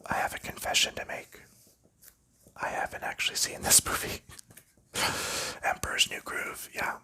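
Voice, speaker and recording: A man, host of an ASMR channel, softly whispering in a rambling, casual way while sleeving board game cards. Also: unhurried. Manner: soft rambling whisper with light laughs and fillers.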